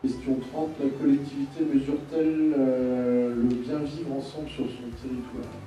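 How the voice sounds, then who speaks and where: A man's voice: medium pitch, flat delivery, moderate pace, dark tone, highs cut off. A man reading out questions as a meeting facilitator, somewhat hesitant and stop-start. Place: public meeting room. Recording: very echoey room, distant mic, music. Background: music.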